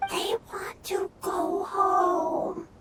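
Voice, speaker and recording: A woman voicing a tiny childlike forest spirit who speaks in slow, broken, simple phrases in a game. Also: very high voice, level tone, noisy background, exaggerated voice. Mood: sad.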